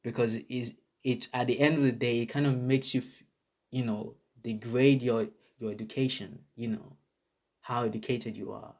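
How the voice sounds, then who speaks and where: A man's voice: medium pitch, steady tone, moderate pace. A man from Nigeria with a Nigerian accent, ranting bluntly to camera in a casual vlog, swearing often and repeating his points for emphasis. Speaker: vlogger. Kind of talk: casual opinion rant to camera.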